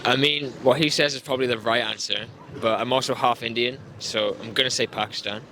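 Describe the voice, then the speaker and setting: A man answers a street interviewer with a loose, joking manner. His pitch is ordinary, and faint street and traffic noise runs under his voice. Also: fairly even intonation, brisk, bright, forceful tone. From Scotland. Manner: joking, laid-back, laughs easily. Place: city street outdoors.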